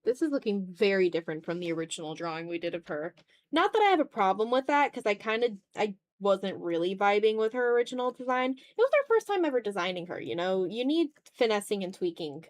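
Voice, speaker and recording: A woman's voice: medium pitch, no echo. A woman chatting casually while she draws on a livestream, rambling in a self-deprecating way. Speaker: art livestreamer. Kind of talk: casual chat while drawing on stream.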